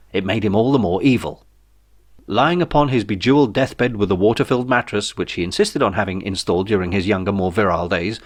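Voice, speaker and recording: Low-voiced man narrating a comic story. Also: no echo, clean studio sound. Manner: wry, theatrical. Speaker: author reading his own novel. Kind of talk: comic scripted audiobook narration.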